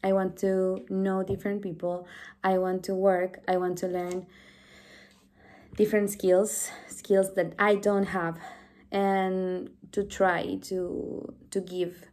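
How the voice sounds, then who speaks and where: A woman's voice: mid-range voice, level tone, slow pace, darkish tone. A woman in her twenties from Chile with a Chilean Spanish accent, a native Spanish speaker and English teacher, introducing herself to camera in a small room at home, earnest and friendly, restarting her phrases often. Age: 20s. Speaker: English teacher applying for volunteering. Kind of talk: self-introduction video to camera. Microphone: device camera at close range.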